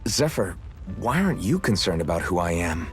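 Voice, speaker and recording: An actor playing an earnest, questioning young freedom fighter in a video game, unsure of himself. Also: male voice, sits low, sing-song, normal speed, faint music behind. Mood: puzzled, uncertain.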